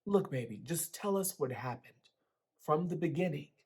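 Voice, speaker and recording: A man voicing a worried, loving mother who gently pleads with her son in a book reading. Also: a little high, lively intonation, moderate pace, breathy edge. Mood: coaxing.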